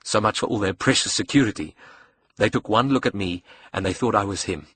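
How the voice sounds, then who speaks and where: A man's voice: on the low side, fairly quick, a bit bright, breathy edge, no room sound. A man voicing a cocky, sadistic young villain in an audiobook, brash and sarcastic, with a South African accent. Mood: gloating. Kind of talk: audiobook character dialogue.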